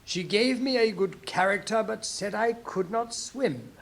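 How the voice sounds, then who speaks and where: A woman's voice: medium pitch, animated, a little slow, gravelly, pitched above the performer's own voice. A woman voicing a fussy male rabbit court herald in a storybook reading, prim, careful and obsequious. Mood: prim reciting.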